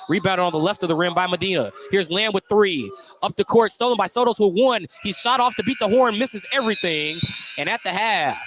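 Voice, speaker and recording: A man's voice: sits high, fairly bright tone, a little hiss or hum. An American man doing live radio play-by-play of a basketball game in clipped, descriptive phrases. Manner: clipped, descriptive running call. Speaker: play-by-play announcer.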